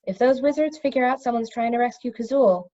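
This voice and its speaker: A woman's voice: on the high side, brisk, mellow, highs cut off, lightly acted. A woman voicing an anxious, impatient young female storybook character with an urgent, questioning manner.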